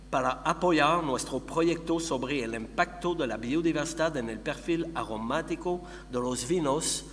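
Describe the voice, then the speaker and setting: Quebec French-accented man presenting in an echoey hall. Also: medium pitch. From Montreal, Canada. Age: middle-aged. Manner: halting, searching for words in a second language. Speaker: conference speaker, company founder and researcher. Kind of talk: conference presentation. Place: conference hall. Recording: echoey hall with long reverb, background hum.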